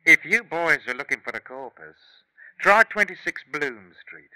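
An actor playing a sly, haggling old-time baker with a wry manner in a 1950s radio drama. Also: male voice, fairly high pitch, lively intonation, normal speed, pushed and bright, clean tone, no echo, rough recording. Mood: brazen. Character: sly, bargaining old-time English bun baker Humphrey. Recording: vintage 1950s radio recording.

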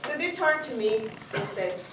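A Peruvian woman with a Spanish accent, a native Spanish speaker, tells a funny story from her marriage in an animated way, with fillers and non-native grammar. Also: on the high side, normal speed, background noise. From Peru. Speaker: speech contestant. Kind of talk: humorous contest speech. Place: meeting hall with a live audience. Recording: echoey hall, distant mic.

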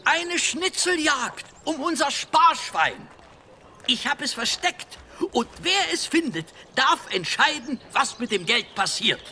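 An actor playing a resourceful, confident villager who rallies others in a children's audio drama. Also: male voice, very high-pitched, sing-song, slow pace, bright, forceful tone, slight background noise, exaggerated voice. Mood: rallying. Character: resourceful, confident male villager rallying everyone.

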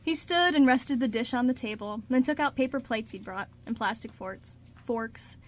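A woman's voice: fairly high pitch, moderate pace, slight background noise. A woman narrating a scripted story for a radio drama.

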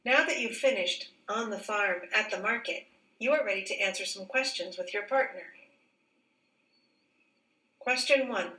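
A woman teacher reading a children's picture book aloud in a careful, expressive, clearly phrased way. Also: mid-range voice, moderate pace, long pauses. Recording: roomy echo, distant microphone.

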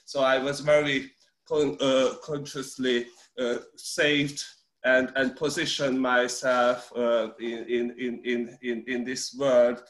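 A man in his forties with a Hungarian accent, speaking English as a guest on a video call. His speech comes in halting bursts, full of restarts and repeated words. The room sounds echoey. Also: mid-range voice, level tone, a bit bright. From Romania. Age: 40s. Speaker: interview guest. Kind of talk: casual video-call interview.

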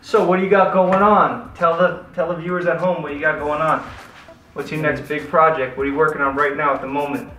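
A young man hosting a comedy barbershop show, teasing his guest with deadpan jokes as he cuts hair. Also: on the high side, fairly quick. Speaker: host and barber. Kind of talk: joking comedy banter. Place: small barbershop, indoors. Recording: echoey room from far off with music.

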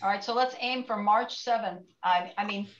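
A woman chairing a video-call committee meeting, brisk and organizing, talking through dates. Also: mid-range voice, a crowd in the background. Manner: brisk, organizing, thinking aloud. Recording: echoey distant lo-fi room with noise.

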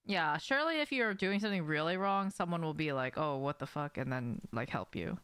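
A young woman with a slightly low voice talks casually while livestreaming a game. Her intonation swings freely as she chats to viewers and reacts to play in informal, sweary language. The sound is close and dry, as in a small room at home. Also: expressive, fairly quick, a bit bright, clean tone, no room sound. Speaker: game streamer.

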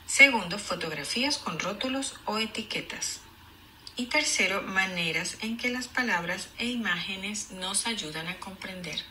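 A woman reads a children's lesson aloud with a sharp, very bright voice. She goes slowly and explains each idea deliberately, as a teacher does. A faint noise sits behind her. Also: mid-range voice. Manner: deliberate, explanatory, enunciating for young learners.

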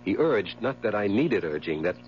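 An actor playing a haunted, anxious husband in a 1970s radio drama, speaking in halting, repeated, melodramatic phrases. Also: male voice, on the low side, big pitch swings. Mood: pleading, tender. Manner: halting, repetitive, melodramatic delivery. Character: haunted, nerve-shattered husband, anxious and obsessive. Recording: muffled, hissy 1970s radio broadcast recording.

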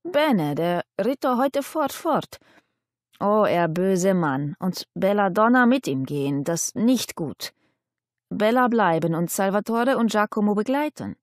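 A woman's voice: mid-range voice, lively intonation, a little slow, no echo, studio quality, close to an everyday voice. Audiobook figure: Italian showman, played by a woman, keen and pleading, broken speech, Italian accent. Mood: eager, pleading. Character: Italian travelling showman, eager, simple-hearted man.

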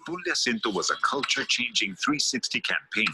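A man reading a scripted promotional case-study narration in a smooth, measured voice-over delivery. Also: lowish voice, very noisy. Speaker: narrator of a campaign video. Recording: voice-over with loud music bed.

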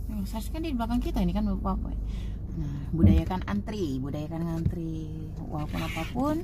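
A woman's voice: lowish voice, animated, brisk. A woman vlogging casually from inside a moving car, chatting and explaining things to her viewers. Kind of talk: casual chat while riding in a car. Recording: loud car and road noise.

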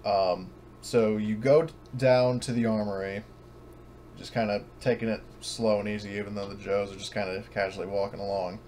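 Low-voiced hesitant man as game master. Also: fairly even intonation, moderate pace, a little mellow. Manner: hesitant, casual, thinking aloud. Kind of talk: improvised narration of a tabletop game. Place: online voice call. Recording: noisy voice-chat recording.

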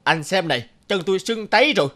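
A man's voice: high voice, animated, quick pace, bright, forceful tone. A male actor voicing a lazy, scheming trader who complains in a whiny, exaggerated way for a folk-tale cartoon. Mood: whining. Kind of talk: animated folk-tale dubbing.

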